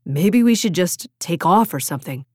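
A restless, wistful teenage boy, voiced by a woman narrator talks in casual, half-joking pleas. The voice sits a bit low and is recorded dry, as audiobook dialogue. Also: animated, normal speed, no echo. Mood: wry.

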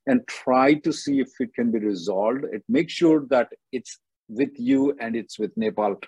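A man's voice: medium pitch, measured pace, dark tone, breathy edge, dry recording, poor audio. A man hosting a live call-in advice show, giving blunt, short, repeated answers to callers. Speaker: immigration advice show host.

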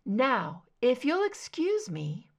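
A woman's voice: high voice, huge pitch swings, slow pace, voice pushed up, full character voice. A woman reading a weary, proud female agent in an audiobook, clipped, guarded and defensive. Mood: irritated, defensive. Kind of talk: dramatic audiobook character dialogue.

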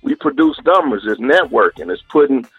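A man from Oklahoma with a deep voice, talking casually as a guest in a radio interview, loose and repetitive. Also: huge pitch swings, fairly quick, gravelly, slightly rough, no room sound, phone-quality sound. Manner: loose and casual, repeats himself, slangy. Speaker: guest musician.

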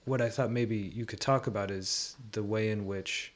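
Low-pitched, reflective man in conversation. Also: steady tone. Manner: halting, reflective, searching for words. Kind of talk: thoughtful conversational discussion.

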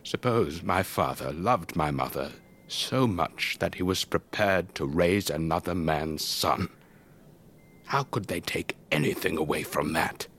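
An actor playing an elderly English retired air force officer, telling his story in a measured, wistful, old-fashioned way for a radio drama. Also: sits low, fairly bright tone, exaggerated voice. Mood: pained, reflective. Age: older. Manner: measured, old-fashioned, reflective, breathy trailing phrases. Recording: faint background noise.

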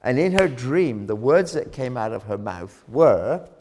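A man preaching a sermon, telling a story dramatically, rising loud on key words and dropping low again. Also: very animated, unhurried, slight background noise. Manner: dramatic, swells loud on key phrases then drops low.

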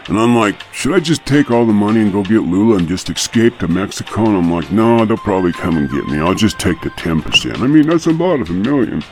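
A small-time hitman and tired tuba player, played by a man tells a rambling tall tale in a comic radio play. The voice is deep but swings widely in pitch, chatty and full of verbal tics, over clear background music. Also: very animated, fast, hardly any pauses. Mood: rambling, conspiratorial. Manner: rambling, conversational, peppered with "you know". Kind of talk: comic first-person radio play monologue.

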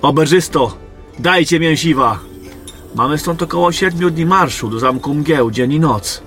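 A man's voice: a little high, normal speed, a bit bright, faint music behind. A man playing an eager young soldier, a general's junior companion, in a fantasy audio drama. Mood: hungry, demanding.

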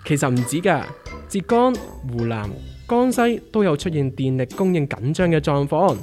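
A man's voice: on the high side, expressive, moderate pace, darkish tone, soft background music, close to an everyday voice. A man playing a level-headed, wry character who reports news emphatically in a comedic radio drama, with a Hong Kong Cantonese accent. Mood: dramatic reporting. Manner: emphatic, wry newsreader-like delivery.

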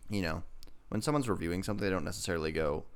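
A man's voice: deep voice, rapid-fire, clean tone. A man co-hosting a podcast, chatting casually through a TV episode review in a rambling, joking way. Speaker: podcast co-host.

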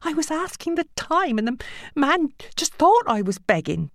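Frightened, pleading woman, high-pitched, acted by a narrator. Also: sing-song, normal speed, dry recording, studio quality. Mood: frightened, sobbing. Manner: pleading, timid, placating. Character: frightened, submissive abused woman, pleading and placating. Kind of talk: audiobook character acting.

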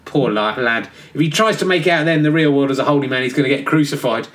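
A man's voice: medium pitch, fast, fairly bright tone, close to an everyday voice. A man voicing a chummy, forgetful male baptizer in a radio comedy sketch, dismissive and full of "mate". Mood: cocky.

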